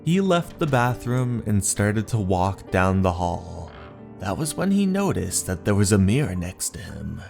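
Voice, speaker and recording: A man narrating a scripted horror story in a deliberate, suspenseful, dramatic manner over music. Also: low voice, very animated, gravelly, darkish tone. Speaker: narrator of horror manga stories. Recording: music under the voice.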